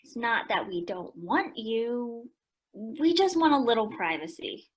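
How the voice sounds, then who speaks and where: A woman's voice: on the high side, lively intonation, measured pace, slightly rough. A woman acting a frank, bookish tomboy teenager in a radio play, direct and matter-of-fact. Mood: firm.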